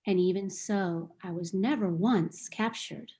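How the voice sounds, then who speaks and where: A woman voicing a proud, sorrowful female unicorn in a table read of a stage play, speaking in a slow, earnest, questioning way. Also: mid-range voice, mellow, natural voice. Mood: wistful.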